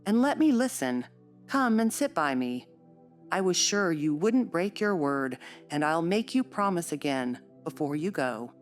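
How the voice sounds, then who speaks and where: A woman's voice: fairly low pitch, big pitch swings, unhurried, faint music behind. A woman voicing a sickly, petulant young man who pleads weakly and shrieks in frantic rages. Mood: weak, pleading. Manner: weak and pleading, then shrieking.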